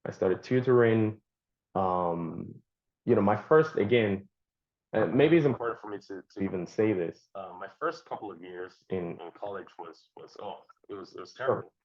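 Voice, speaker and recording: A Nigerian man with a very low voice speaks as an interview guest. He pauses often, repeats himself and fills gaps with ums. The sound is dull and lo-fi. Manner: halting, many fillers and repeated words. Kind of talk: conversational interview answers.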